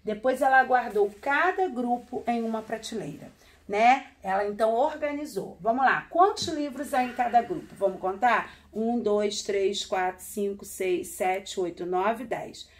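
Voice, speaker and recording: A woman in her forties leads a remote lesson for small children, her voice sitting a little high. She counts slowly, repeating every number in a gentle sing-song. The sound is close and plain, like a phone held nearby in a small classroom. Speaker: primary school teacher. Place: classroom with wall charts, indoors.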